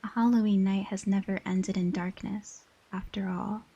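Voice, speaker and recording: A woman's voice: mid-range voice, fairly even intonation, normal speed, dark tone, husky, dull audio. A woman voicing a stern, haughty inhuman guardian in a scripted dramatic monologue, formal and imperious. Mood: grave, commanding.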